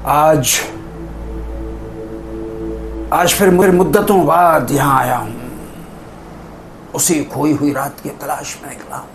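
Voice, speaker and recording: Casting: weary, volatile poet-king for a theatrical monologue; very unhurried, declamatory delivery with gravelly edge, faint music behind. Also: a little high, fairly even intonation. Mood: melancholy, searching. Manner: declamatory, with long dramatic pauses. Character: brooding, world-weary middle-aged king, poetic and volatile. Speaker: actor performing a dramatic monologue. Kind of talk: theatrical Urdu-poetic stage monologue.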